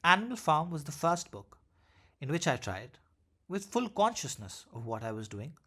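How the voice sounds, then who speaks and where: Higher-pitched man reading an essay aloud. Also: big pitch swings, moderate pace, bright, forceful tone. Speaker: essay reader. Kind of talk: read-aloud literary essay narration.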